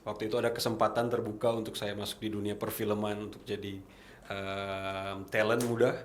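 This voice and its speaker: Earnest low-voiced young man. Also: flat delivery, echoey room, a little hiss or hum. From Manado, Indonesia. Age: 20s. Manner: earnest, reflective storytelling with pauses. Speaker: podcast guest. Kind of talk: casual testimony.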